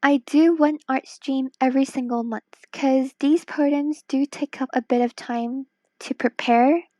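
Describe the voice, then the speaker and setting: A woman's voice: high voice, steady tone, unhurried, darkish tone, no echo. A woman from Hong Kong chatting casually on a late-night stream, tired and worn-voiced, playful and teasing, sometimes dropping to a whisper. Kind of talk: casual live-stream chat while drawing.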